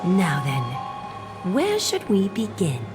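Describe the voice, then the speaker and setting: A woman playing a poised, gracious noblewoman in a video game, speaking in a formal, measured, soothing way with quiet mystery. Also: lowish voice, huge pitch swings, over music, heavily acted. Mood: gracious, composed. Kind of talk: video game character dialogue.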